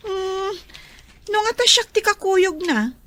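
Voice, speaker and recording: A woman's voice: extremely high pitch, animated, slow, a bit bright, heavily acted. An actress playing a hurt, jealous young girl in an Ilocano radio drama.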